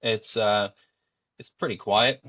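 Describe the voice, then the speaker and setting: Easygoing man, low voice, muffled sound. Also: steady tone, takes it slowly, a bit bright, no room sound. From Denmark. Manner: frequent fillers and restarts, easygoing. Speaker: podcast guest. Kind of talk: relaxed conversational storytelling.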